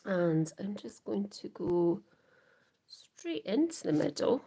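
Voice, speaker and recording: A Scottish woman talks viewers through a live craft demo at an unhurried pace. Her voice carries a gravelly edge, and she often drops into soft whispers while she works. A faint hiss sits behind her. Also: mid-range voice, big pitch swings. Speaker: craft livestream host.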